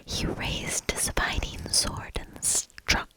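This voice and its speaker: A woman whispering a fairy tale as ASMR, soft-spoken and deliberate, with measured storybook phrasing. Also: poor audio.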